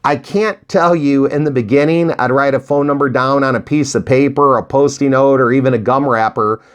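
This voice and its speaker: Man, mid-pitched voice, motivational tutorial delivery, folksy, encouraging, emphatic. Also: normal speed, clear, resonant tone. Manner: animated, acts out imagined dialogue; emphatic, with rhetorical questions. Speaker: real estate investing coach. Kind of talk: motivational tutorial talk to viewers.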